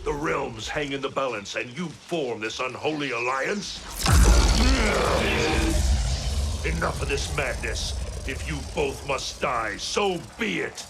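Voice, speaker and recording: A man's voice: mid-range voice, expressive, unhurried, gravelly, very noisy. A man voicing a stern, short-tempered thunder god who speaks in a formal, grave, commanding way in a video game cutscene. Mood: angry, accusing. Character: stern male thunder god, quick to anger, solemn protector.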